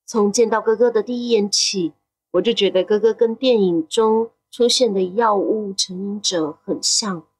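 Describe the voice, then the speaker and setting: A woman's voice: medium pitch, normal speed, breathy edge, natural voice, rough recording. A woman playing a curious, easily frightened young teenage boy in an audio drama. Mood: pondering, uneasy. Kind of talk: Mandarin audio drama acting.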